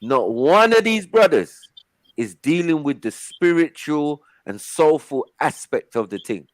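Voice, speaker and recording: A man's voice: a little high, very animated, unhurried, dry recording. Insistent man cutting in on a panel. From Africa. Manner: talks over others, insistent, says "hold on" often. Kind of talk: heated casual panel discussion. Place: online call.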